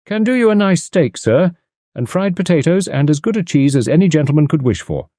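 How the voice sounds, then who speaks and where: A man's voice: on the high side, animated, brisk, mellow, dry recording, studio quality, voice pushed up, natural voice. A man voicing a burly, ingratiating innkeeper of about fifty, obsequious and beaming.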